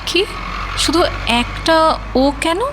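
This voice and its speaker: A woman's voice: extremely high pitch, extremely slow, background noise, heavily acted. A woman playing a young professional, a bantering, defensive and devoted girlfriend in an audio story. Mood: affectionate, probing. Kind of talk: audio story dialogue in casual Bengali.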